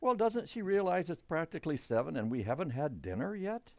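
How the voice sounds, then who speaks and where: A man's voice: fairly high pitch, very animated, brisk, no echo. A man acting a blustery, stubborn middle-aged father, formal and indignant, in a radio comedy.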